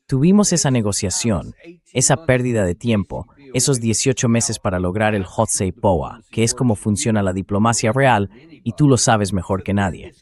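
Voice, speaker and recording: A man with a fairly low voice reads a translated voice-over as an interview host. His delivery is conversational and questioning, suited to political discussion. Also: normal speed, darkish tone.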